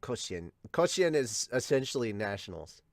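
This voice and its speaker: A man hosting a casual reaction show, reading along and commenting as an excitable, sweary fan. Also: medium pitch, moderate pace, no echo. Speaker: podcast host reacting to a manga. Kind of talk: casual reaction and amateur manga dub read-along.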